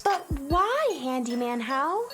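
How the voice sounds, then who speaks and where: A woman voicing a young woman named Mary in a children's radio drama, asking gentle questions in a very high voice. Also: big pitch swings, takes it slowly, exaggerated voice. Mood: curious. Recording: music playing behind.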